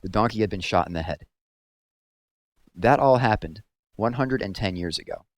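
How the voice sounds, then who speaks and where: A man narrating a story aloud in a measured, serious storytelling manner. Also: on the low side, lively intonation, dry recording.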